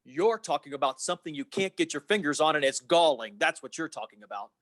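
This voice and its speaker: A man's voice: a little high, animated, fast talker, fairly bright tone, no echo, clean studio sound. A man playing an earnest, idealistic young small-town fellow in an old-time radio play, plain-spoken and given to repeating himself. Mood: indignant.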